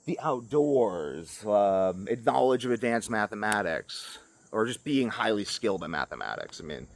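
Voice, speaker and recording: A man's voice: fairly low pitch, big pitch swings, normal speed, vocal fry, slight background noise. A man in his twenties talking casually and unscripted to a camera as a vlogger, rambling from one tangent to another. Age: 20s. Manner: rambling, jumping between tangents. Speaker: video creator.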